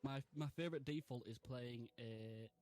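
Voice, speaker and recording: A man's voice: medium pitch, normal speed, a little mellow. A man in his twenties chatting casually and laughing often while streaming a game. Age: 20s. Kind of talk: casual gaming chat.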